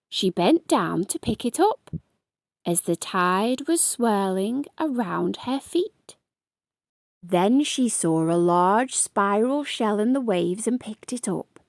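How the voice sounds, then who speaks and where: A woman reading a children's picture book aloud in a measured, deliberate, expressive storytelling style. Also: mid-range voice, no echo, clean studio sound. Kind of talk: scripted read-aloud storytelling for young children.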